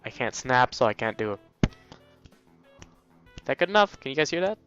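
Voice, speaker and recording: A man's voice: mid-range voice, big pitch swings, moderate pace, muffled recording. A man hosting a let's play, reading visual novel text aloud with casual, jokey asides and getting easily sidetracked. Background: faint game music.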